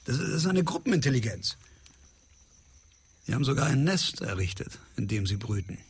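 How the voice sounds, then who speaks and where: An actor in a German radio drama playing a frustrated, self-justifying male scientist who lectures with a husky voice. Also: medium pitch, very animated, moderate pace, heavily acted. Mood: fascinated, lecturing. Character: defensive, frustrated male scientist leading an island experiment.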